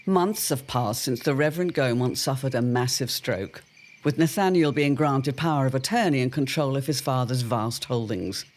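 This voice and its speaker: A man narrating a dramatic serial in a measured, theatrical, old-time announcer manner. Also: mid-range voice.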